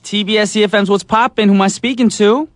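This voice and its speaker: Upbeat male radio DJ, slightly high voice. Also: fairly even intonation, fast talker, no room sound, studio quality. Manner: enthusiastic, repeats "awesome" and "alrighty". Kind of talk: upbeat radio call-in chat. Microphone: studio microphone.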